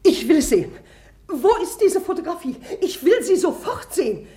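A woman playing an imperious, excitable married society lady in a 1950s radio drama, insistent and theatrical. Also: very high voice, moderate pace. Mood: agitated, demanding. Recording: 1950s radio play recording.

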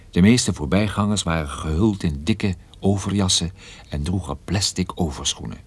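An actor playing a world-weary private detective, narrating his case in a radio play with dry, unhurried wit. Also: low voice, husky, dry recording, full character voice. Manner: dry, wry, unhurried storytelling. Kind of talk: radio drama first-person narration.

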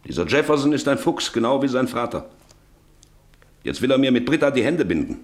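A man's voice: medium pitch, big pitch swings, a little fast, natural voice. An actor playing a middle-aged, domineering, money-hungry farmer and father in a German radio drama, commanding and cutting others short. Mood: calculating.

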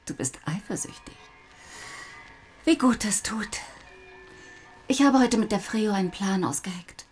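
An actress playing a capricious, affectionate young wife in a radio play, intimate and playful, often slipping into a breathy murmur. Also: female voice, mid-range voice, expressive, unhurried, pauses often, darkish tone, soft background music, lightly acted. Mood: intimate, teasing. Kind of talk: German radio play acting.

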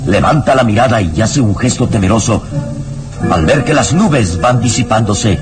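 A man's voice: on the low side, animated, normal speed, natural voice. A man narrating a horror adventure radio serial in a grave, theatrical, ominous style. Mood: ominous, suspenseful. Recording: radio drama with background music. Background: dramatic music.

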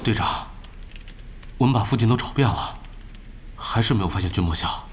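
An actor playing an excitable young male gamer, a deferential team subordinate in an audio drama. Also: fairly low pitch, brisk, slight background noise, close to an everyday voice. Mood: dutiful. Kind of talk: Chinese audio drama acting.

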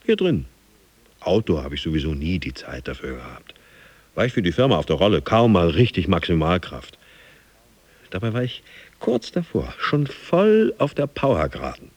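An actor playing a driven, boastful gym fanatic in a radio drama monologue, intense and theatrical. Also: male voice, deep voice, huge pitch swings, moderate pace, slightly rough, no room sound, heavily acted. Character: driven, boastful male gym fanatic dreaming of his boss and America.

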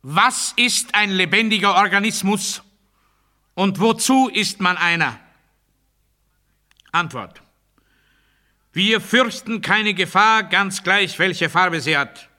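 A regimented man obsessed with obedience in a literary radio play. His voice sits rather high, with a very sharp, forceful edge. He delivers absurd doctrine deliberately, as a declamation. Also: heavily acted. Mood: strident proclamation. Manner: deliberate, declamatory, swinging from hushed confiding to strident proclamation.

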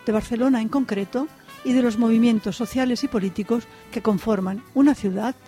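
Woman, promo announcer, soft dark tone, scripted narration, faint music behind. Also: on the high side, fast.

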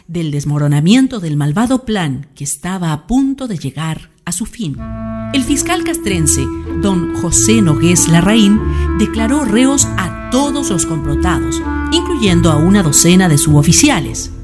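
A woman's voice: on the low side, a little mellow. A woman with a Chilean accent reading scripted dramatic narration for a historical radio drama.

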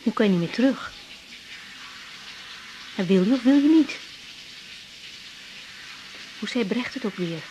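An actress playing a young woman doctor in a 1980s radio drama, gentle and matter-of-fact in manner. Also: a little high, animated, unhurried, pauses often, highs cut off, slight background noise. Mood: troubled. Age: young adult. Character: young woman doctor, tender lover in private, patient at work.

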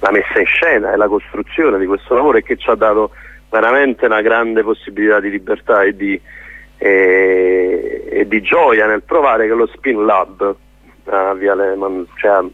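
A man interviewed by telephone, heard through a narrow, dry line. He talks earnestly as a theatre director, often pausing and rephrasing while he looks for the right word. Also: on the low side, no echo. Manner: hesitant, searching for words, earnest. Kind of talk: spontaneous radio interview. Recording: narrow tinny phone line.

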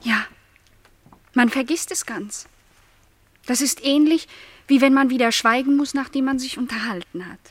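An actress playing a lonely young housemaid in a literary radio play, speaking earnestly, politely and with some hesitation. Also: female voice, sits high, moderate pace, pauses often, fairly bright tone, dry recording. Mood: shy, earnest. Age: young adult.

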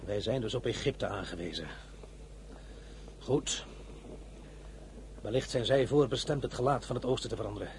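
An actor playing an imperious, volatile young general with an abrupt, commanding manner in a radio drama. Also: male voice, medium pitch, normal speed, long pauses, a little hiss or hum, lightly acted. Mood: grave, commanding.

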